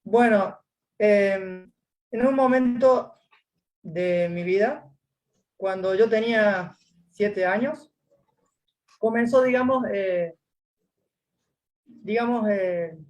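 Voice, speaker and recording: A man's voice: sits high, slow pace, pauses often, a little mellow. Man, Argentine accent, from Córdoba, interview guest, hesitant, lots of fillers. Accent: Argentine Spanish. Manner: hesitant, many fillers, retells his story. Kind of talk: casual testimony interview.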